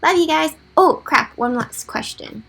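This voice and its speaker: A young woman with a raspy voice, chatting casually and with self-deprecating humor as she talks over a drawing timelapse. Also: high-pitched, animated, measured pace, a bit bright. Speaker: gaming YouTuber.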